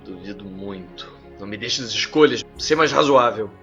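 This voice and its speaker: A man's voice: lowish voice, expressive, normal speed, sharp tone, dull audio, soft background music, pitched below the performer's own voice. A man voicing a valiant, battle-seasoned knight, terse, stern and formal in manner. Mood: stern, doubtful.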